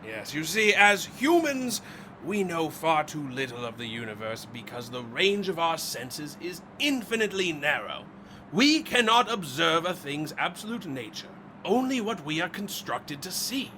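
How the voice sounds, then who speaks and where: A driven inventor in a horror radio play. His high, bright voice makes grand, intense proclamations with a defiant edge, over some background noise. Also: sing-song, a little slow, exaggerated voice. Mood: grandly intense. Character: obsessive, grandiose, defiant male scientist inventor. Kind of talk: theatrical radio drama acting.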